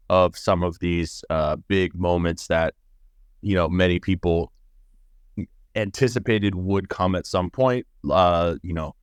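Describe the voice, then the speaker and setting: A man's voice: low-pitched, smooth, no room sound. A man hosting an interview podcast, asking loose, rambling questions and giving his own views. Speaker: podcast host.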